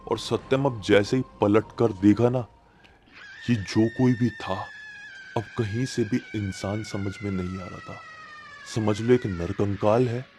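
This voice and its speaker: A man's voice: on the low side, a little mellow. A man narrating a horror story in a conversational, suspenseful way, repeating phrases for emphasis. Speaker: horror storyteller, channel host. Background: music.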